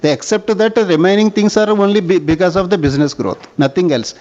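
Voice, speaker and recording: Indian man, higher-pitched, dull call audio. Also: fast, darkish tone. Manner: formal, often addressing listener as sir. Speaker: bank executive answering analysts' questions. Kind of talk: earnings call question-and-answer.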